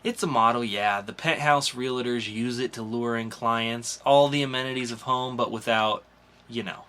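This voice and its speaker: A man acts out a cool, teasing young woman in a comic book reading. The delivery is coy and casually sarcastic, in a bright, forceful voice. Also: medium pitch, moderate pace, close to an everyday voice.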